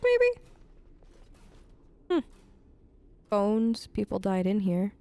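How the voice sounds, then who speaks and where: A woman hosting a role-play let's play, chatty and expressive in her gameplay commentary. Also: medium pitch.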